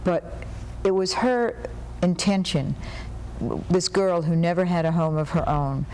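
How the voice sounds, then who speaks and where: A woman biographer explaining her subject earnestly and passionately, pausing with frequent "um"s. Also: fairly low pitch, a little mellow, slight background noise, poor audio. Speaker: biographer guest.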